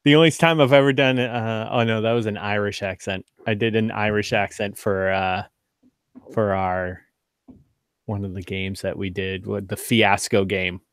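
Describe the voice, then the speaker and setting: A man acting as game master, narrating a tabletop game in a casual, halting way with self-deprecating asides. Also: lowish voice, steady tone, smooth, no room sound, studio quality.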